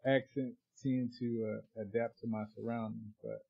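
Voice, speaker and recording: Male podcast co-host, husky tone, easygoing chat, lo-fi sound. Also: mid-range voice, moderate pace, dry recording. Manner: relaxed, conversational, southern slang. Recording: dull lo-fi sound.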